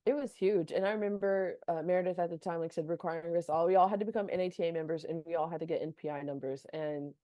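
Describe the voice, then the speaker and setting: A woman's voice: fairly low pitch, quick pace, few pauses, dark tone, no room sound. A young woman from North Carolina, an athletic trainer, talking chattily in a casual podcast interview with many "like" fillers. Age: young adult.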